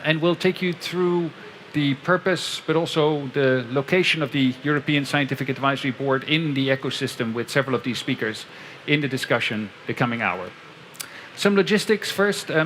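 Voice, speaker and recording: A man moderating a panel event at a conference pavilion, speaking into a headset mic in a measured way with frequent fillers. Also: on the high side, a bit bright, slight background noise.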